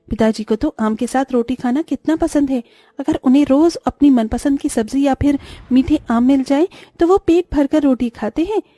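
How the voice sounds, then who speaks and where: A woman voicing a poor, dutiful and caring young elder daughter in a cartoon, earnest and gentle. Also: sits high, no room sound. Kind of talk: Hindi moral-story cartoon voice acting.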